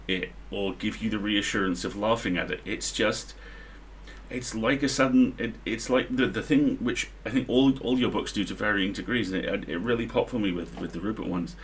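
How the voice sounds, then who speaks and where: A middle-aged man co-hosting a casual discussion, building his points in halting, repeated phrases. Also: lowish voice, a bit bright. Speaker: livestream co-host. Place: small room at home, indoors. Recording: noisy livestream room sound.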